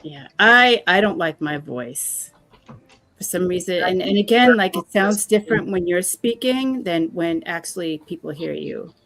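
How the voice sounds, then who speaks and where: A woman's voice: lively intonation, unhurried, fairly bright tone. A woman hosting a casual online chat, asking her guest questions in a friendly, talkative way. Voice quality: calls her own voice squeaky. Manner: gravelly, chatty, asks questions. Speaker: host.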